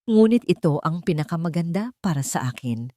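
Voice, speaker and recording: A woman's voice: fairly low pitch, lively intonation, fairly quick, mellow, no room sound, studio quality. A woman reading a scripted first-person romance story as a dramatic narrator.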